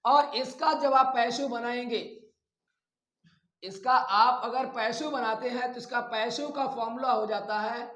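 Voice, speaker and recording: Male grammar teacher, unhurried step-by-step delivery with deliberate repetition, recorded in a reverberant room. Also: very high voice, fairly even intonation, bright, forceful tone. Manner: deliberate, repeats phrases, addresses students directly. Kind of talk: classroom lesson, explaining rules step by step. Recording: echoey, roomy sound.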